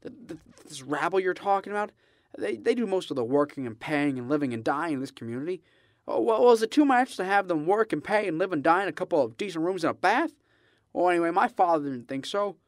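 An actor playing an earnest, impassioned young small-town man in a radio drama, stammering and halting with emphatic delivery. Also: high-pitched, lively intonation, dry recording, studio quality. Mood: indignant, impassioned.

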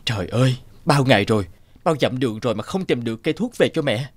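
A man playing a weary, jealous elder brother in an animated fairy tale, grumbling and scheming. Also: medium pitch, fast, lightly acted. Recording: background music under the voice.

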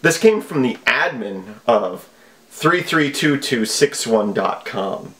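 A man chats to camera in a small home office. His delivery is lively in pitch and wryly comic, with a mock-earnest streak. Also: takes it slowly. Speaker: video host. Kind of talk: casual comic commentary.

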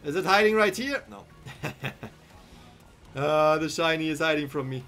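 A young man with a German accent talks through his game in a relaxed, rambling way, often thinking aloud and replying to chat. His voice sits a little high for a man, heard close on a headset mic. Soft game music plays faintly underneath. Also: measured pace. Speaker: streamer. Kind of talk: casual live-stream commentary. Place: small room indoors.

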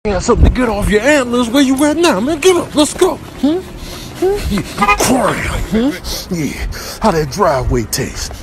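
A man's voice: sits high, darkish tone, a little hiss or hum. A man voicing a cocky brawling moose in a comedy dub, mocking his rival with short prodding hums.

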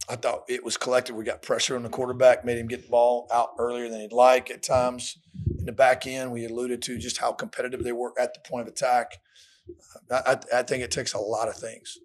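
A middle-aged American man, a football coach, answering questions in a plain, colloquial way at a post-game press conference podium. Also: medium pitch. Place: indoor press room at a podium. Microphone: podium microphones.

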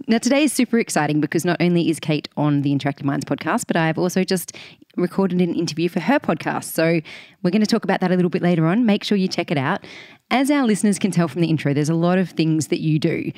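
A woman hosting a marketing podcast, upbeat and chatty, with a slightly croaky voice today. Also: on the low side, quick pace, darkish tone, no room sound, studio quality. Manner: upbeat, scripted intro then chatty questions. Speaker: podcast host. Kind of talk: podcast interview.

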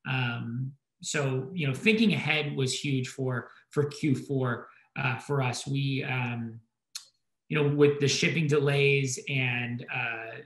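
A man's voice: mid-range voice, fairly bright tone. A man in his thirties answering conversationally as a business panelist, rambling and hesitant, over a video call. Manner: hesitant, filler-heavy, rambling. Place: indoor room at home or office, on a video call. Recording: roomy, echoey video call.

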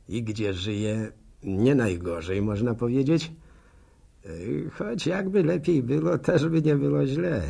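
Gravelly, slow-talking village postman. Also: on the low side, darkish tone, heavily acted. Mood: wry, chatty. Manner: rambling, colloquial, wry rural storyteller. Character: chatty, self-deprecating, envious middle-aged village postman with a limp. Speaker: narrator of a radio serial. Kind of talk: radio drama monologue, folksy storytelling to listeners.

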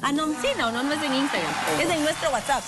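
A woman's voice: sits high, quick pace. A woman co-hosting a morning show, upbeat as she announces a giveaway. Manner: upbeat, promotional. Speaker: co-host. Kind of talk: TV presenting. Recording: TV studio with music bed.

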